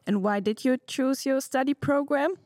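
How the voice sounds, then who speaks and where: Brisk woman hosting a podcast. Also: high voice, a little mellow, no room sound, studio quality. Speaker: podcast host. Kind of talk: scripted podcast intro and interview questions.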